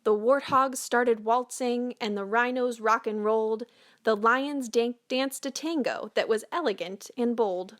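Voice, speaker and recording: Female picture-book narrator, slightly high voice, expressive, measured read-aloud delivery. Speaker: storyteller.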